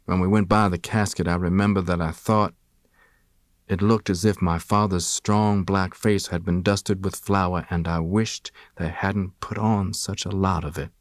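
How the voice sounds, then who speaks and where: Low-voiced man reading a memoir. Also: steady tone, measured pace, no echo, clean studio sound. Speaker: audiobook reader. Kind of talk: first-person memoir narration, scripted.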